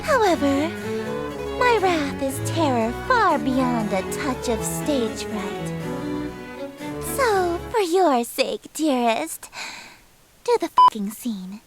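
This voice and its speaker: A woman's voice: high voice, very animated, takes it slowly, loud music behind the voice, exaggerated voice. A woman voicing a haughty, theatrical eldritch goddess girl in a game, coy and menacing with pompous, archaic flourishes. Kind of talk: scripted visual novel voice acting.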